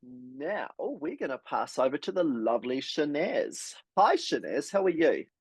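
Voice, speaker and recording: A man's voice: on the high side, very animated, unhurried, husky, no room sound. A man hosting an online cooking class over a video call, upbeat and encouraging with his guests. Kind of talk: casual hosting.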